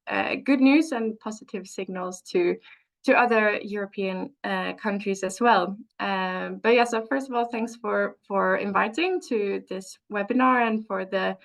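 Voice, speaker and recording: A woman researcher presenting slides on a webinar panel over a video call. She speaks haltingly, with frequent restarts. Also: mid-range voice, fairly bright tone.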